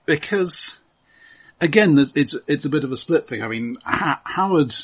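A man co-hosting a casual film discussion, analytical and often halting, repeating words as he frames a point. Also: fairly high pitch, lively intonation, a bit bright. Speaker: film podcast host.

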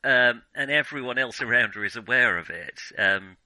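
Bright, halting man discussing films. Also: mid-range voice, animated, no echo, poor audio. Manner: halting, thinking aloud with many false starts. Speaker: film podcast host. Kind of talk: casual film discussion.

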